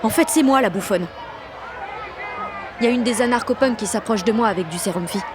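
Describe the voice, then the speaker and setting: A woman playing a brash, excitable sixteen-year-old girl with grandiose ideas, narrating an audio drama in slangy, dramatic first person. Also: a little high, brisk, noisy background, lightly acted. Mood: panicked. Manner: slangy, cocky, dramatic teenage delivery. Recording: music and street crowd behind the voice.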